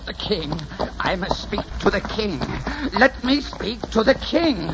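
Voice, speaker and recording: An actor playing a proud, embittered old Spanish conquistador in theatrical 1940s radio style. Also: male voice, sits high, very animated, unhurried, gravelly, heavy background noise, exaggerated voice. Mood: desperate, indignant. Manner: impassioned, theatrical. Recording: muffled 1940s radio recording, music behind.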